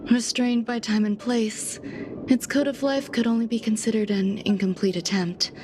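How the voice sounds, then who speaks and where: A woman playing a reserved, detached genius scientist in a video game, speaking in measured, formal, reflective lines. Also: a little high, fairly even intonation, noisy background, natural voice. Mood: detached, regretful. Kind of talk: scripted video game character dialogue. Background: background music.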